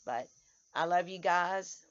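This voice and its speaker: Hoarse woman, vlogger, tired, halting delivery full of restarts; muffled home-video sound. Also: on the low side. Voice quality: halting, many restarts and stammers. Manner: tired, speaks in short broken phrases. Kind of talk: casual vlog to followers. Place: outdoors in the sun.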